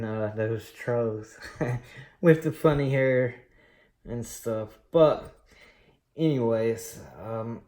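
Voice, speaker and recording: A man in his late thirties telling his story on a coin collecting vlog, rambling and relaxed with many pauses and fillers. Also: medium pitch, roomy sound. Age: late 30s. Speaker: coin collecting channel host. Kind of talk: casual personal storytelling while unboxing.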